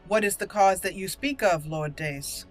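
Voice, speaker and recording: A woman's voice: mid-range voice, normal speed, faint music behind, close to an everyday voice. A woman voicing a proud, haughty young noblewoman in a fantasy game roleplay, with formal, lofty phrasing. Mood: formal, curious.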